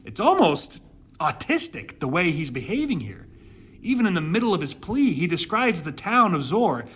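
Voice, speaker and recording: A man delivering dramatic scripture reading and interpretive commentary, with emphatic storytelling and deliberate pauses. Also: high-pitched, big pitch swings, a bit bright, slightly rough. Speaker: Bible commentator and narrator.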